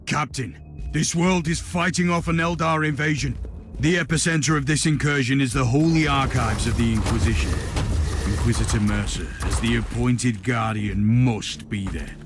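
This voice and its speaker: An actor playing a stern, grim Inquisitor in a video game, speaking in a curt, authoritative and businesslike way. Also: male voice, mid-range voice, moderate pace, over music, natural voice. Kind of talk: video game cutscene acting.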